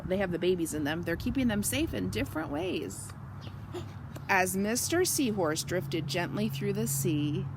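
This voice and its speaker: A woman reading a picture book aloud to children, gently explaining and asking questions in asides. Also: medium pitch, noisy background. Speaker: children's story reader.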